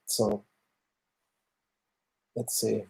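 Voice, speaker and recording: Canadian guy on a q&a stream, mellow creaky voice, thinks out loud, kinda halting. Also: on the low side, level tone. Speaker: music channel host answering viewer questions. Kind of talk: casual livestream Q&A talk.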